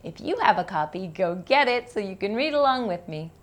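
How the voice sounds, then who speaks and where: A woman hosting a storytime, reading a children's book aloud in an animated style for young listeners. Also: medium pitch, brisk.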